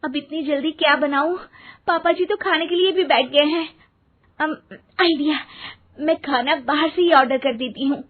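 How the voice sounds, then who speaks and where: A woman's voice: sits high, normal speed, close to an everyday voice. A woman voicing an eager, easily flustered young daughter-in-law in an acted moral story. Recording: muffled, phone-like narrow band.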